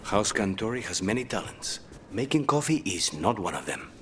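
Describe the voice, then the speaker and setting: An actor playing a suave, dry-witted male assassin in a video game, speaking in a measured, understated way. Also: lowish voice, big pitch swings, slight background noise. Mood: wry, dry. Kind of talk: video game dramatic voice acting.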